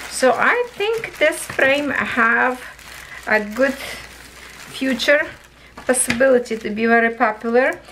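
A woman hosting a craft vlog, chatting slowly through a cross stitch kit review, voice going hoarse, recorded at a table indoors. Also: mid-range voice, a little hiss or hum, low-quality recording. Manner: chatty, thinking aloud, soft and slow. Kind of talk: casual product review vlog. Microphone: camera over a table, hands only in frame.

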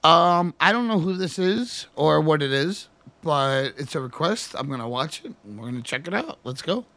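A man's voice: a little high, expressive, normal speed, sharp tone, slight background noise. A young man hosting a music reaction video, talking casually into a headset and bluntly dismissing the song.

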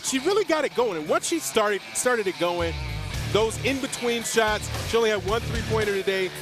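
A middle-aged man with a high voice breaks down game highlights from a studio desk. He talks into a headset mic, stumbling and repeating words as he explains each play. Music runs clearly under his talk. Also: expressive, poor audio. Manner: halting, repeats words while explaining plays. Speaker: color analyst. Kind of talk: postgame highlight analysis. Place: studio set. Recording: music bed behind.

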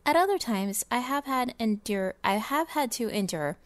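A woman's voice: fairly high pitch, lively intonation, normal speed, dry recording, lightly acted. A woman voicing a pompous, scornful miserly businessman in a dramatic storybook reading of fantasy game lore. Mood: scheming, aggrieved. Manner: theatrical, pompous, scornful delivery. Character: miserly, sneering, self-satisfied businessman narrating holiday memoir.

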